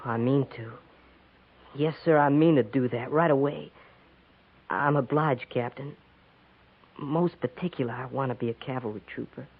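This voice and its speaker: An old, narrow-band radio western recording carries an American farm boy on the run. He speaks in a husky voice, with respectful, halting country phrasing and an eager sincerity. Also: mid-range voice. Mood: earnest, eager. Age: child or teenager. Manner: folksy rustic grammar, respectful, halting. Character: earnest runaway farm boy of thirteen, polite, eager. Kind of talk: 1950s radio western acting.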